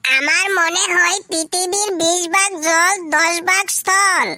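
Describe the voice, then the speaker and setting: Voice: very high voice, steady tone, slow pace, sharp tone, dry recording, clean studio sound, exaggerated voice. A performer voicing a cheeky, overconfident young schoolboy in a comedy cartoon, earnestly answering his teacher like a child. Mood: earnest reciting. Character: cheeky young schoolboy pupil, dim but confident.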